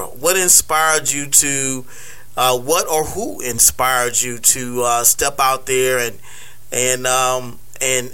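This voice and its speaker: A man hosting a radio show, interviewing a guest in a slow, halting way with many fillers. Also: mid-range voice, pushed and bright, smooth.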